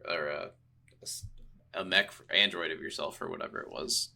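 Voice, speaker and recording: A man's voice: low voice, steady tone, moderate pace, gravelly, crowd noise. A man co-hosting a video game podcast in casual, unscripted chat, agreeable and easygoing. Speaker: podcast co-host reviewing video games.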